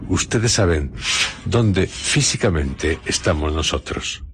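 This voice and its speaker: Male radio host, Castilian Spanish. Bottomless low register, unhurried solemn delivery of dramatic scripted introductions. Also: lively intonation, slight background noise. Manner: solemn, theatrical, deliberate phrasing with pauses. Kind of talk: dramatic scripted radio narration introducing horror and adventure stories. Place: radio studio theatre. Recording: music bed under studio radio voice. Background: music.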